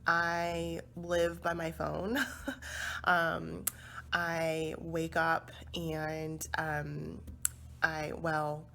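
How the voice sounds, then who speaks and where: A woman's voice: low voice, completely flat delivery, drawn-out words, vocal fry, bright, forceful tone, a little hiss or hum. A woman in her twenties answering interview questions casually, chatty and laughing, with many fillers. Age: 20s. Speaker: focus group participant.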